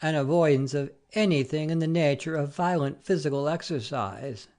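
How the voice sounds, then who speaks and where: An earnest, nervy gentleman, acted by a man, speaks in a dramatic audiobook. He is polite and formal, absorbed in his own complaints. His delivery suits a classic short story. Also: a little high, normal speed, natural voice. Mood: earnest, self-absorbed. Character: nervous, earnest gentleman visitor, preoccupied with ailments.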